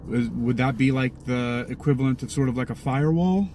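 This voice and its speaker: A man's voice: mid-range voice, brisk. Inquisitive man talking over road noise. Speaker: mission partner questioning an AI. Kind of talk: casual improvised sci-fi role-play. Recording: clear car and road noise.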